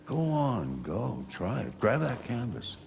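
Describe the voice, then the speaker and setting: An actor playing a frail, wise, fatherly old painter in a video game, gravelly and reflective, gently commanding. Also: medium pitch, wildly swooping pitch, slow pace, background noise, full character voice. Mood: gently urging. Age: older. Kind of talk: naturalistic video game acting. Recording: music under the voice.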